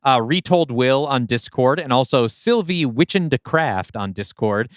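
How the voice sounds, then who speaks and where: Lively, smooth-voiced podcast co-host in his thirties. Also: mid-range voice, measured pace, no echo, studio quality. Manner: easygoing, teasing, lots of fillers. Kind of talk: casual podcast chat.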